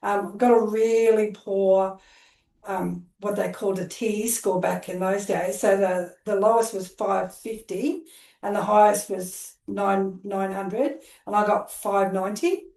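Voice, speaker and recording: A woman's voice: medium pitch, little pitch movement, unhurried, vocal fry, warm tone, recorded from a distance. A middle-aged Australian woman from Queensland, a social worker telling her life story in a casual, rambling way with plenty of fillers. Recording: roomy echo.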